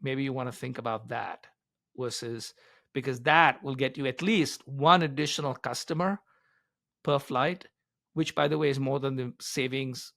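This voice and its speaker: An older man speaks as an interviewed advisor, in a medium-pitched voice. He explains things in a conversational but emphatic way, setting out his points in order. The recording is dry, with very little room sound. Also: moderate pace, creaky, a bit bright, no echo. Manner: emphatic, explains in numbered points with acted-out quotes. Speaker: business author and advisor being interviewed.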